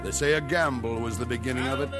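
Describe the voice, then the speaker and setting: An actor playing a solemn storyteller of local legend, narrating a 1950s radio drama in an incantatory way. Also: male voice, mid-range voice, quick pace, lightly acted. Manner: incantatory, solemn, repeating phrases. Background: brass music.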